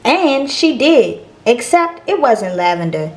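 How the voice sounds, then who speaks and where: A woman's voice: a little high, big pitch swings, takes it slowly, a little hiss or hum. A woman reading a children's picture book aloud as a storyteller.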